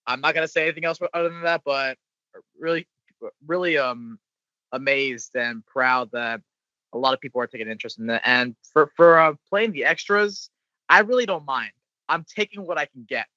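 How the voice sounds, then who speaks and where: Halting man with a bright, muffled voice. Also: fairly high pitch, no echo. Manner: halting, repeats himself, searching for words. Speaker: voice actor guest. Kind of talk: casual podcast chat.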